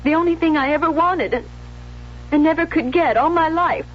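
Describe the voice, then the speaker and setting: Actress as tormented high-voiced young woman, old radio. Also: moderate pace, lightly acted. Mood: anguished remorse. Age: young adult. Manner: anguished, overwrought, dramatic delivery. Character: tormented young woman, love-starved, guilt-ridden, desperate and fragile. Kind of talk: 1970s radio drama acting. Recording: muffled, noisy old radio broadcast.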